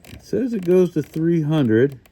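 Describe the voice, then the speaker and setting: A man's voice: a little high, big pitch swings, unhurried, mellow. A man hosting a casual hands-on product walkthrough, talking informally and thinking aloud as he goes. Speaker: host of a hobby radio review channel.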